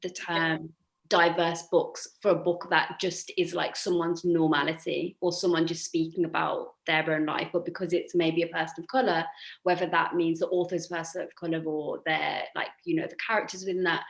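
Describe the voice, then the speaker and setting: A woman's voice: low voice, little pitch movement, moderate pace, vocal fry. A woman hosting a conversational discussion, speaking in a thoughtful, somewhat hesitant way. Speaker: host. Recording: echoey room.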